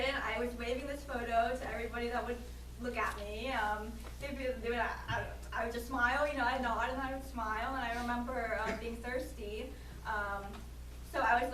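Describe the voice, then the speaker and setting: A woman's voice: on the high side, fairly bright tone. Hesitant young woman, echoey distant room. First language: Vietnamese. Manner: hesitant, many ums and restarts. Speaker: student presenter. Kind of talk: class presentation. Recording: echoey room from far off, noisy.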